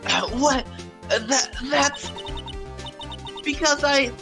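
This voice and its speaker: A woman's voice: high-pitched, slow, gravelly, over music, heavily acted. A woman voicing a flustered, evasive female witness in a courtroom game, stammering and defensive.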